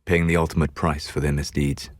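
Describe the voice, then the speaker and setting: A man playing a solemn, regretful ancient elven mage in a video game, speaking in measured, formal phrases. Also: a bass voice, little pitch movement, no room sound, studio quality. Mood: grave, regretful. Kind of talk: video game character dialogue.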